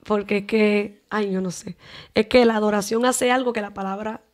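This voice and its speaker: Young Dominican evangelist with a Dominican accent; chatty, thorough talker in a podcast interview. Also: female voice, medium pitch, fairly even intonation, moderate pace. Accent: Dominican Spanish. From Dominican Republic. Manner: talkative, lengthy explanations. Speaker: evangelist guest.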